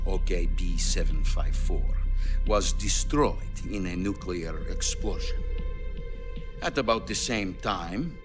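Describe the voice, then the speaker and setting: An actor playing an imposing older Soviet head of state in a cinematic video game, grave, deliberate and ominous. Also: male voice, lowish voice, lightly acted.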